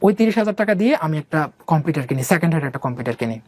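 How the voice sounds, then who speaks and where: A man's voice: on the high side, expressive, rapid-fire. A young man from Bangladesh telling his own life story in a casual, rambling way, with many fillers and English words mixed in.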